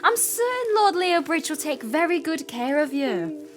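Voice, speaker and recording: Woman playing a high-voiced, soothing maidservant. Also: very animated, a little slow. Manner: deferential, soothing. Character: deferential, consoling maidservant. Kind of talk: period radio drama acting.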